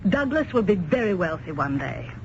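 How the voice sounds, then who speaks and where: A woman's voice: fairly low pitch, very animated, moderate pace. An actress playing an older aunt who talks her idle nephew's case with a coaxing, world-weary manner, in a 1970s radio drama. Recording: lo-fi vintage radio recording, faint hiss.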